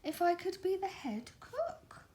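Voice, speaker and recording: A woman voicing a small, wistful and indignant male duck in a storybook, with drawn-out delivery. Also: very high voice, sing-song, mellow, pitched above the performer's own voice, heavily acted. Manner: murmuring and wailing, drawn-out.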